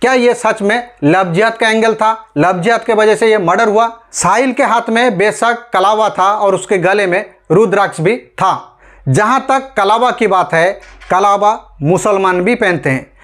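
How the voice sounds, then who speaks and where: A man from India with a high-pitched voice delivers scripted news commentary for an online audience. He speaks emphatically, leaning on rhetorical questions and addressing listeners directly as friends. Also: a little slow, fairly bright tone.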